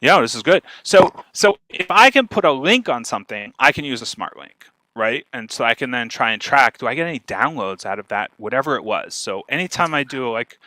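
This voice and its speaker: Guy explaining stuff in an online talk, punchy bright voice, emphatic and chatty, really dry close sound. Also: medium pitch, animated, fairly quick, few pauses, no echo, poor audio. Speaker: guest speaker giving a marketing talk. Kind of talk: informal online presentation, explaining.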